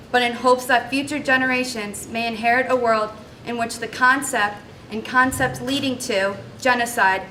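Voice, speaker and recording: A young woman, a student speaker, delivering a prepared speech in an earnest, measured way. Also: fairly high pitch, bright, forceful tone. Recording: distant mic, faint noise.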